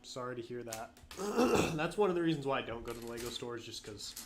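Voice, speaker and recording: A man hosting a casual live stream while sick, a bit nasal with frequent throat clearing, greeting viewers by name in a relaxed way. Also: mid-range voice, a little fast, slight background noise.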